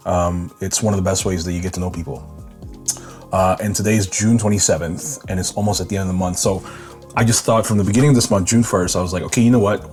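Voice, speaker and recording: Deep-voiced man telling his story casually. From Indonesia. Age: 30s. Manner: relaxed, rambling, many ums and restarts. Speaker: vlogger.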